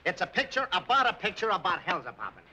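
A man playing an exasperated, boastful middle-aged Hollywood film director in rapid-fire 1940s screwball comedy style. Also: high voice, sing-song, pushed and bright, full character voice. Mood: fed up. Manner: blustering, insistent, emphatic. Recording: noisy vintage film soundtrack.

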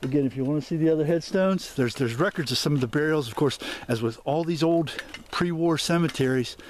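A man's voice: a little high, brisk, a little mellow, a little hiss or hum. Rambling man filming in the woods. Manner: rambling, many fillers and restarts. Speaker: explorer vlogger. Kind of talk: walk-and-talk vlog narration. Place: dense overgrown woods outdoors. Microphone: handheld camera mic while walking.

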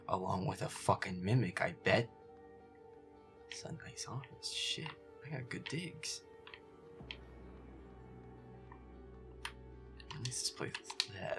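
A man's voice: on the low side, creaky. A man playing a feisty, foul-mouthed werewolf boyfriend who mutters sarcastic commentary while gaming. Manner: sarcastic, profane, muttering to himself. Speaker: gamer playing a scary game. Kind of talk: roleplay audio gameplay commentary, muttering.

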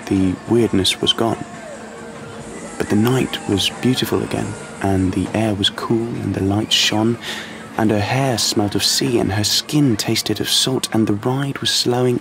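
An actor playing a dreamy, unsettled young British man in an audio play, halting and reflective. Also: on the low side, steady tone, vocal fry, music in the background, poor audio. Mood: wistful. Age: young adult. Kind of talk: audio play monologue with dialogue.

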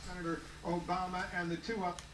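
A man anchoring a political news broadcast, brisk and formal as he introduces guests and asks questions. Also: high-pitched, monotone, crowd noise. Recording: echoey broadcast audio with loud noise.

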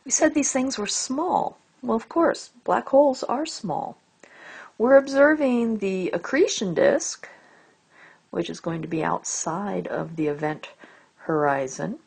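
A woman giving an explanatory lecture as a teacher, phrasing deliberately and stressing key words. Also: mid-range voice, expressive, creaky, darkish tone, breathy edge. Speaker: astronomy lecturer. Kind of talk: explanatory lecture over slides.

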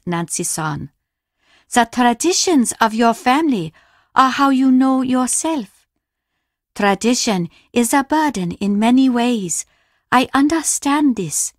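An actress playing a solemn, reserved Japanese woman devoted to tradition, speaking formally and deliberately as a video game character. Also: on the high side, no room sound, clean studio sound.

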